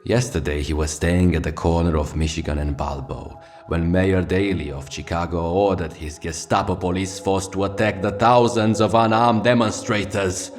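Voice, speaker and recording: A man's voice: low-pitched, fairly even intonation, moderate pace, few pauses, natural voice. A man playing a world-weary, theatrical radio host delivering a poetic, profane scripted monologue for a fictional wretched city. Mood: wry, reverent. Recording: music behind the voice. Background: loud music.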